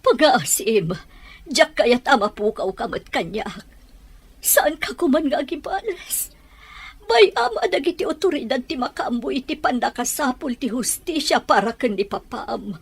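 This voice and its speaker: A woman performs an anxious Filipino mother in an audio drama. Her voice is fairly high and noticeably husky. She pleads with emotion, like a widow desperate to protect her family. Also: lively intonation, moderate pace, heavily acted. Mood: pleading, worried. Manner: imploring, emotional. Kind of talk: Ilocano radio-style audio drama acting.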